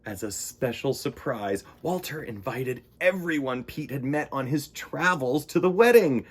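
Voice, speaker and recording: A man hosting a children's storytime, reading picture books and chatting in simple, expressive, conversational language. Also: mid-range voice, unhurried, husky. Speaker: host of a children's storytime show.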